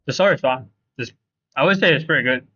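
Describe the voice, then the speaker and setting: A man's voice: medium pitch, quick pace, pushed and bright, lo-fi sound. A man chatting casually as he livestreams a video game. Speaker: live streamer.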